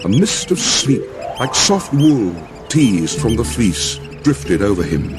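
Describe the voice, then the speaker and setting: A man giving dramatized audiobook narration in a solemn, measured, theatrical way. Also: medium pitch, very animated, over music.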